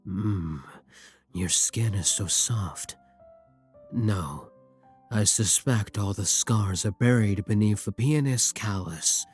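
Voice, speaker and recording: A man playing a brooding, tender vampire king in intimate ASMR roleplay, husky and courtly, with formal endearments and hesitant pauses. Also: fairly low pitch. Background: soft music.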